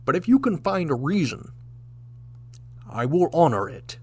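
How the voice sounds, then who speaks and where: An actor playing a proud, stern old Klingon warrior captain in a sci-fi audio drama, formal and declamatory in manner. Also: male voice, on the high side, very animated, a little slow, breathy edge, no room sound, full character voice. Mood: grave threat.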